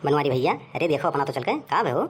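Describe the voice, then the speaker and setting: A man's voice: a little high, expressive, fast talker, slight background noise, lightly acted. A man playing a grumbling village man in a comic cartoon, conversational and rustic, with an Awadhi accent.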